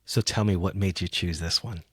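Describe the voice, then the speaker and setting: A man with a very deep voice hosts a casual poetry conversation. He muses aloud, haltingly building each point. The sound is close and dry, with hardly any room in it. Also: steady tone, fairly bright tone, husky, dry recording. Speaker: poetry podcast host.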